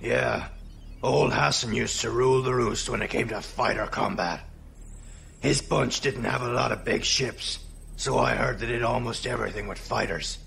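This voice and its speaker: A man playing a gruff, wry veteran crew boss in a space audio drama, with a gravelly, folksy way of talking. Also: mid-range voice, normal speed, a bit bright, a little hiss or hum. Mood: admiring, reflective.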